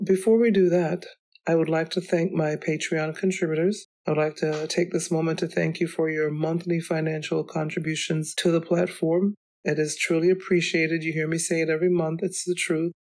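A woman hosting her own podcast, talking alone in a chatty, rambling way, her voice still slightly hoarse from illness. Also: on the low side, darkish tone. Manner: chatty, rambling, goes off on tangents. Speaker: podcast host. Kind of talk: solo podcast monologue. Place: home.

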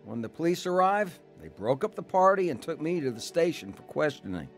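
A man's voice: fairly high pitch, sing-song, brisk, faint music behind. An actor playing a rebellious, hard-drinking young man in a radio drama testimony. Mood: reflective. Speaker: dramatised testimony narrator and lead. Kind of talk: radio drama narration and acting.

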